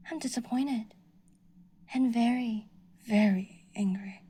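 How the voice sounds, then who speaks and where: Woman voicing a delusional yandere stalker, fairly high, sugary menace with hushed whispers. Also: close to an everyday voice. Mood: disappointed. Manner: sweetly menacing, slips into whispers. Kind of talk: audio roleplay.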